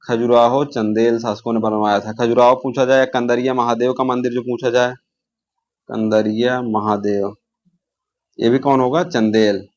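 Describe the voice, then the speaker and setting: A man's voice: medium pitch, level tone, normal speed, a little mellow, lo-fi sound. A man teaching an informal exam-prep lesson, posing questions, answering them himself and repeating key terms.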